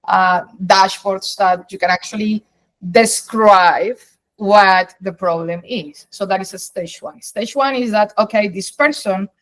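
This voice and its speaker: Guest, woman, Colombian accent, native Spanish, middle pitch, emphatic explainer. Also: measured pace. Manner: emphatic, explains in long flowing runs with rephrasings. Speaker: guest, data science executive. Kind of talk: interview answers, conversational.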